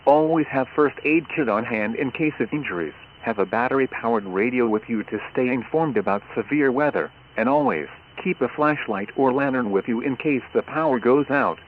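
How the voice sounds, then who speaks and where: A computer-generated male voice reading a weather broadcast in a measured, instructional way. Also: mid-range voice, expressive. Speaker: weather radio announcer. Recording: tinny radio broadcast with faint hiss.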